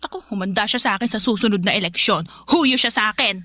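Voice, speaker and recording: A woman's voice: mid-range voice, fast, pushed and bright, a little hiss or hum, natural voice. A woman playing a hot-tempered, money-minded mother in a radio drama, scolding in an exasperated, emphatic way. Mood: angry, scolding.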